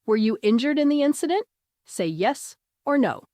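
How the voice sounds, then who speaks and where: A woman's voice: sits high, lively intonation, moderate pace, dark tone, dry recording, studio quality, voice pushed up. A woman voicing a cold, bureaucratic automated machine in a story, speaking in formal, impersonal phrases. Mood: officious. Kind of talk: audiobook character voice.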